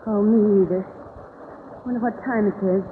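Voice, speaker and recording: An actress playing an anxious, homely, folksy farm wife in an old radio drama. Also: female voice, mid-range voice, fairly even intonation, normal speed, close to an everyday voice. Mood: drowsy, homely. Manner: fretful, folksy. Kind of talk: 1940s radio acting. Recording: noisy, tinny old radio recording.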